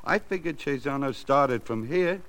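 An actor with an American accent playing a pushy small-time crook in an old radio crime drama, blunt and full of street slang. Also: male voice, mid-range voice, animated, normal speed, a bit bright, natural voice. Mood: indignant, eager. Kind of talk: 1940s-50s American radio crime acting. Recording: noisy vintage radio drama recording.

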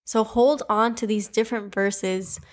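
A woman's voice: medium pitch, fairly even intonation, a little slow. Earnest young woman with a Cuban accent. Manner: earnest, prayerful, emphatic. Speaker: devotional podcast host. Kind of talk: devotional teaching and prayer.

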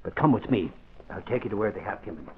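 An actor playing a brisk, informal newspaper reporter with a rough, husky voice in a 1940s radio crime drama. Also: male voice, fairly low pitch, animated, slight background noise, lightly acted. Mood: brisk, matter-of-fact. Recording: tinny old radio recording.

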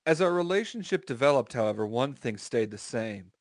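A man's voice: medium pitch, animated, brisk, no echo. American man reading aloud, dry sound. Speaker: podcast host reading a story aloud. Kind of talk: comic dramatic reading with casual asides.

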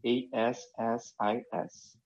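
Slow, polite man with a Rio accent. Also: mid-range voice, level tone, a little mellow, lo-fi sound. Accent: Brazilian, Rio de Janeiro. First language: Portuguese. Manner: polite and careful. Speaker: podcast guest.

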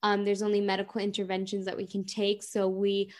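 A woman in her twenties, a guest in a casual interview, explaining in an earnest, steady way with many ums. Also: medium pitch, brisk.

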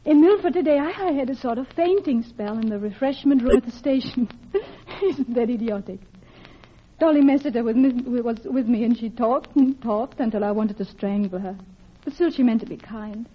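Housewife, brittle, anxious, insistent, slightly husky, 1940s radio play, muffled old broadcast. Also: female voice, fairly high pitch, normal speed. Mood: flustered, tearful. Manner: fluttery insistence, brushing off concern. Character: anxious, brittle English housewife hiding distress from her husband. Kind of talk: 1940s radio drama acting. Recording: dull vintage radio broadcast recording.

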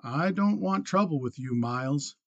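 A man's voice: mid-range voice, normal speed, no echo, highs cut off, close to an everyday voice. A man voicing a heavy, sullen, hot-tempered rancher in a western audiobook, careful and grudging in speech.

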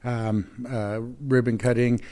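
A man born in Mexico and raised near Chicago, a chamber of commerce president talking casually in an interview, friendly, with many pauses and restarts. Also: mid-range voice, fairly even intonation, warm tone. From Mexico, raised in Chicago area.

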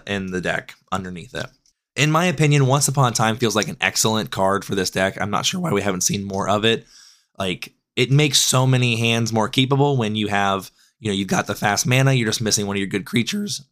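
Hoarse man getting over a cold. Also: fairly low pitch, fairly bright tone. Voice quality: raspy. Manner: loose, rambling with frequent self-corrections. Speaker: podcast host. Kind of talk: casual deck analysis.

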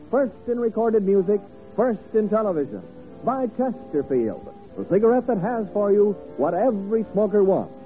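A man reading a scripted 1950s radio sign-off as the announcer. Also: high-pitched. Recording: tinny old radio broadcast. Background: faint music.

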